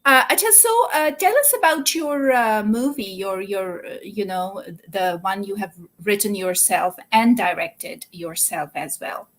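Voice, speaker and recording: A woman's voice: medium pitch, a little slow, few pauses. A woman hosting a casual interview, encouraging her guest with frequent short replies. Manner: encouraging, frequent yes and mm-hmm. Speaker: host.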